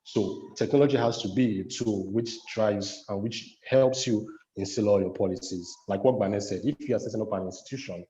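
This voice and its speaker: Low, dark-voiced man, echoey room. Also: muffled recording. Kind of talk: conversational podcast discussion.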